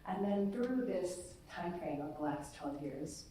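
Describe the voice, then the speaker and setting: Woman content creator and yoga teacher, chatty, self-deprecating, lowish voice, distant echoey room. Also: lively intonation, measured pace, mellow, slight background noise. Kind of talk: casual panel discussion. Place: large echoey gallery, live event.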